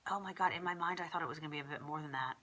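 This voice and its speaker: A woman's voice: low voice, very fast, sharp tone. A young woman from the south of England with a congested cold, chatting through a casual pregnancy vlog in an exasperated, rambling way, full of fillers. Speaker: vlogger.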